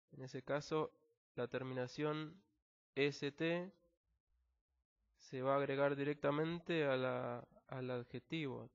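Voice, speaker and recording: A man with an Argentine accent, an English teacher patiently explaining a technical text word by word, with frequent fillers. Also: mid-range voice. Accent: Argentine Spanish. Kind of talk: screen-recorded language lesson. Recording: slightly dull lo-fi recording.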